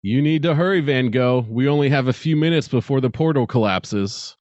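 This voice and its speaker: A man voicing a brisk, cheeky male spaceship pilot in a dramatic story reading, informal and teasing. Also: mid-range voice, a little mellow, no echo, lo-fi sound, voice pushed up, close to an everyday voice. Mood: urgent.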